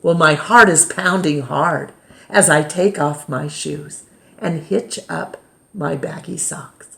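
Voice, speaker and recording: A woman reading a children's picture book aloud as storytime reader, with dramatic pauses and emphasis. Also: sits low, vocal fry, fairly bright tone.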